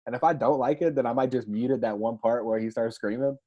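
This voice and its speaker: A man's voice: mid-range voice, fast. A man co-hosting a casual sports chat, slangy and easygoing. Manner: casual, slangy, says "bro" and "like". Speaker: podcast co-host. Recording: dull lo-fi mic.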